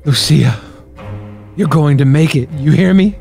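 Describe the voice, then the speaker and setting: A man's voice: a little high, creaky, darkish tone, pitched below the performer's own voice. A man playing an earnest, melodramatic secret agent hero in a spy radio drama parody. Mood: resolute, tender. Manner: slow, melodramatic delivery. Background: music.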